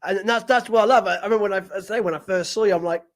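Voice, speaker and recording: A man's voice: high voice, rapid-fire. A man from Britain hosting a casual podcast interview, enthusiastic, often restarting phrases. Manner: enthusiastic, repeats words, laughs often. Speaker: podcast host.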